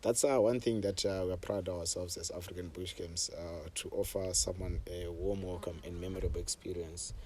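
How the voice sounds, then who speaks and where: A young man from Zimbabwe, a safari camp host, telling his story in a relaxed way with frequent fillers, interviewed outdoors on a camp deck. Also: sits low, normal speed, few pauses. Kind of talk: conversational interview.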